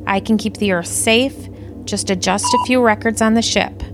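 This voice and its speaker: A woman's voice: medium pitch, fairly even intonation, normal speed, noisy background, close to an everyday voice. A woman playing an ambitious, practical alien officer in an audio play, speaking in a dry, matter-of-fact, officious way. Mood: coolly scheming.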